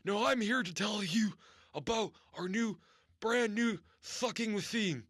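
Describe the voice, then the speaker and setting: A man playing an ancient, world-weary vampire spokesman in a comedy infomercial, halting and theatrical. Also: sits high, a bit bright, no room sound, clean studio sound. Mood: salesmanlike. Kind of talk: mock infomercial sales pitch.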